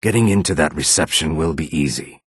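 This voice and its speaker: A reptilian assassin from a fantasy video game, speaks from deep in his register. His voice is rough and husky, with deliberate phrasing. The sound is close and dry, with no room around it. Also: a bit bright, dry recording, heavily acted. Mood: calm, cautionary. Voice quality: husky, rasping. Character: low-voiced male reptilian Argonian assassin and outlaw. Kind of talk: video game character dialogue.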